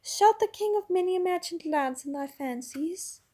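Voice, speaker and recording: Brief: soft, lulling witch queen with a high, honeyed voice, read by a woman. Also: expressive, normal speed. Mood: mocking. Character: enchanting witch queen, sweetly coaxing and mocking.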